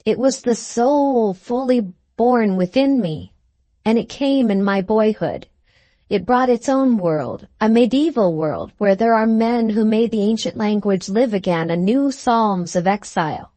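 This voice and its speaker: A woman's voice: fairly high pitch, lively intonation, a little slow, mellow, dry recording. A woman voicing a fervent, visionary male scholar in an audiobook, speaking in solemn, lofty oratory. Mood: fervent, exalted. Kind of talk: literary audiobook character reading.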